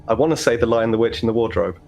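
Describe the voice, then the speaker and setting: A man's voice: medium pitch, racing, creaky, darkish tone, faint music behind. A man narrating a tabletop roleplaying game as the game master.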